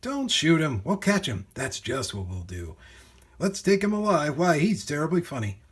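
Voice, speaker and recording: A man voicing excitable, greedy storybook hunters who exclaim and shout. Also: fairly high pitch, normal speed, husky. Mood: scheming.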